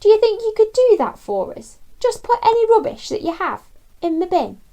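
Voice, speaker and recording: A woman's voice: extremely high pitch, big pitch swings, normal speed, dark tone, no room sound, dull audio. A woman reading aloud to young children as a storytime host, coaxing them along with questions. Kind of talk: children's read-aloud narration.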